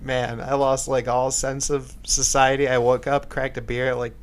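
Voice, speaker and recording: A man co-hosting a casual podcast, easygoing and quick to laugh. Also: mid-range voice, flat delivery. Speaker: podcast co-host. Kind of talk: casual chat.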